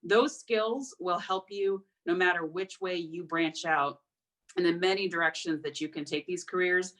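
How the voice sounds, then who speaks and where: A woman panelist giving measured career advice. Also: fairly low pitch, fairly bright tone. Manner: measured, advisory. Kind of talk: panel talk over video call.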